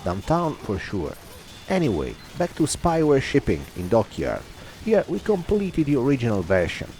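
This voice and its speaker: A man's voice: mid-range voice, huge pitch swings, normal speed, a little mellow, a little hiss or hum. A man giving a formal, wordy voice-over commentary over his own gameplay video. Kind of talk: scripted voice-over narration.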